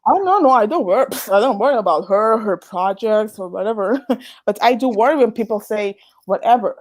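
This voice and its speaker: A woman's voice: mid-range voice, expressive, brisk, no room sound. A woman from the Dominican Republic, a guest in a heated casual debate, who talks with animation, laughs mid-sentence and restarts words.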